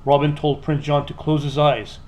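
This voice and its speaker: A man narrating a children's storybook aloud in an expressive, scripted read-aloud style. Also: a little high, moderate pace.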